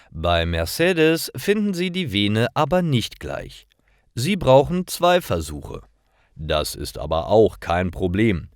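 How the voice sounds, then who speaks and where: A man with a fairly low voice narrates simple stories for language learners. He reads very slowly and deliberately, with lively swings in intonation. The delivery is scripted and careful throughout. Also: dry recording, clean studio sound.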